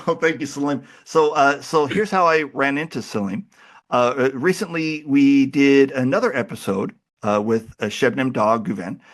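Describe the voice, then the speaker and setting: A man's voice: medium pitch, moderate pace. Chatty male podcast host with a cold. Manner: relaxed, chatty, self-deprecating. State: has a cold. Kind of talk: casual interview chat.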